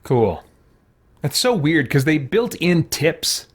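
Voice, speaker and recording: A man's voice: on the high side, very animated, slow pace, slightly rough. A man giving casual commentary while playing a video game. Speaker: let's-play commentator.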